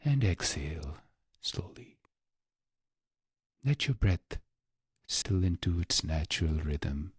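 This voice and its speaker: Male meditation narrator, unhurried and very breathy, husky, close and dry sound, scripted short phrases. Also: big pitch swings, no echo. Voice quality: low, breathy, husky voice with creak. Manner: hushed, unhurried delivery in short phrases with long pauses. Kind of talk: slow, scripted guided meditation.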